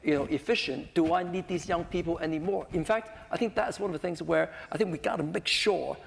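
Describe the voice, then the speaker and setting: Creaky high-voiced man on a panel. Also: fast talker. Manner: conversational, peppered with "right?" tags. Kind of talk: unscripted panel discussion. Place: conference panel hall. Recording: echoey hall with some noise.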